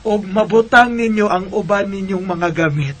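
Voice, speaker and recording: An actress with a Visayan Filipino accent playing a kind, generous older single woman in a radio drama, gentle and reassuring. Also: mid-range voice, normal speed, close to an everyday voice. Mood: kindly, reassuring.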